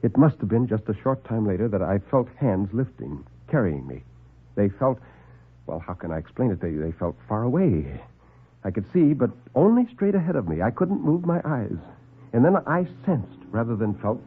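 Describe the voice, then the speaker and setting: A man narrating a first-person horror tale as a classic radio storyteller, theatrical and suspenseful, with deliberate pauses. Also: mid-range voice, big pitch swings, husky. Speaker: storyteller and actor. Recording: muffled old radio broadcast recording.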